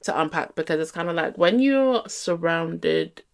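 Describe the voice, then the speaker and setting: A woman's voice: on the low side, lively intonation, moderate pace, vocal fry. A young woman with a London accent, co-hosting a casual podcast chat and talking earnestly and reflectively, with lots of "like" fillers. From England. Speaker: podcast co-host.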